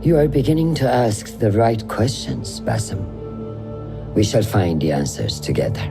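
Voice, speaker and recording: An actor playing a stern assassin mentor who speaks in a measured, solemn, instructive way. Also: male voice, lowish voice, huge pitch swings, vocal fry, music in the background, exaggerated voice. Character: stern older male assassin mentor, calm and grave. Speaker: mentor of the hidden ones. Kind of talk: game cutscene acting.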